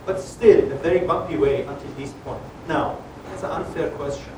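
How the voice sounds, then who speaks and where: A man in the audience asking a halting question at a startup event. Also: on the high side, darkish tone. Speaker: audience member. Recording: distant echoey hall with room noise.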